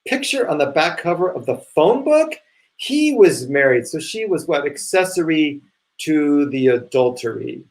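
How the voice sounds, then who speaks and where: A man performs an impatient, remorseful waiter in a play reading. His fairly high voice moves in lively swings as he explains himself haltingly and urgently. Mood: explaining. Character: earnest, impatient waiter seeking forgiveness.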